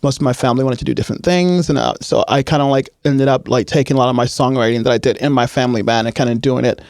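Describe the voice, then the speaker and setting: This is a young man who grew up on Hawaii's north shore, talking about himself in a relaxed, meandering way. His voice sits in an ordinary middle range. The recording is dry and close, with no room sound. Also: medium pitch, very fast, gravelly, no room sound. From Hawaii, Oahu north shore. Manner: relaxed, rambling with many "like"s and "um"s. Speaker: musician guest. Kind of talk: casual interview chat.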